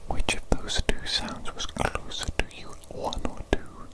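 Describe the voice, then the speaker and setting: An ASMR performer whispering slowly and deliberately in a breathy, unvoiced voice, repeating phrases softly while playing a calm evil doctor examining a patient. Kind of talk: whispered ASMR medical roleplay.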